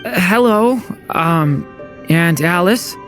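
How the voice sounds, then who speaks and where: Low, hesitant young heroine. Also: female voice, lively intonation, takes it slowly. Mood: unsure. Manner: hesitant, understated. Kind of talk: video game voice acting. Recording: music playing under the voice.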